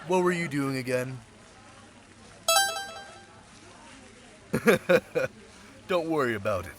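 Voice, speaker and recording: A man's voice: medium pitch, close to an everyday voice. A man playing a sly, centuries-old thief turned security specialist, talking in a casual, teasing way in an audio roleplay drama. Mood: playful. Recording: loud crowd chatter behind voice.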